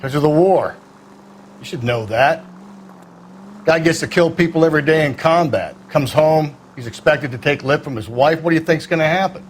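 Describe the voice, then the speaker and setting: A man's voice: fairly high pitch, normal speed, a little hiss or hum, lightly acted. An American man playing a cynical veteran homicide detective in a video game, blunt, world-weary and sardonic. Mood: cynical, matter-of-fact. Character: jaded, gruff male veteran homicide detective, cynical and dismissive. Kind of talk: cinematic video game acting.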